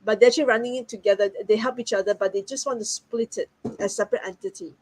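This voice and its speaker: A woman's voice: mid-range voice, steady tone, fast, a little mellow, poor audio. A woman from Singapore, talking as a guest in a friendly, earnest way. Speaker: guest business partner. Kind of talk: casual online talk.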